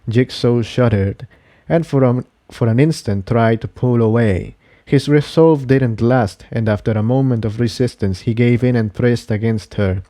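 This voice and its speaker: A man reading scripted fiction aloud as an expressive story narrator. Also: medium pitch, no room sound, studio quality.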